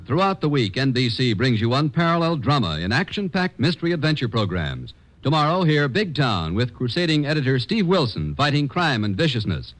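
A man's voice: mid-range voice, sing-song, fairly quick, few pauses, rough recording. An American man announcing in a scripted 1950s network radio style. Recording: vintage radio broadcast with music.

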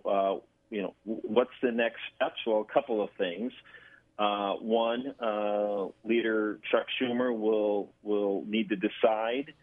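A man with a fairly low voice speaks as an interview guest over a phone-like connection. He explains things in a measured but halting way, and the sound is thin and dry. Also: no room sound. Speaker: interview guest, government affairs director. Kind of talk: radio interview answers.

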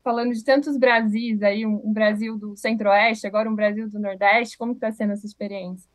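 A woman co-hosting an interview, asking friendly, measured questions. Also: medium pitch, steady tone, no room sound. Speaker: co-host interviewer.

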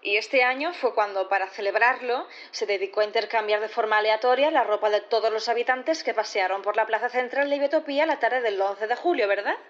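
An actress in an audio drama playing a composed prosecutor who questions formally, politely and methodically. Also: female voice, fairly high pitch, brisk, hardly any pauses, muffled recording, close to an everyday voice. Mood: probing.

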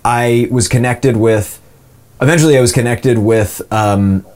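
A man's voice: medium pitch, fairly even intonation, a little slow, darkish tone. A man in his twenties with a polished, announcer-like voice, telling stories casually and self-deprecatingly as an interview guest. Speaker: voice actor guest.

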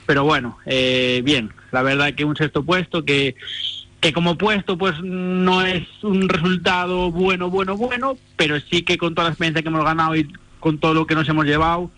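A man's voice: a little high, little pitch movement, moderate pace, pushed and bright, no echo. A young man from Spain, a rally driver, talking casually over the phone with fillers, repeats and easy laughs. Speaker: rally driver, interview guest. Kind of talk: phone interview. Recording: dry phone line.

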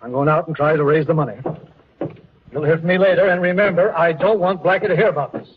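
A man's voice: on the high side, fast, background noise, natural voice. An actor playing an agitated, stubbornly loyal businessman, earnest and distressed, in a 1940s radio drama. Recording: tinny, muffled 1940s radio recording.

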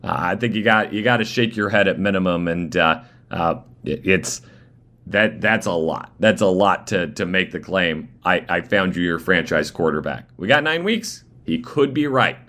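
Lowish-voiced sports radio host, straining voice. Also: male voice, normal speed. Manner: animated, restarting phrases. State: losing his voice. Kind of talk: live sports talk radio. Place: radio studio.

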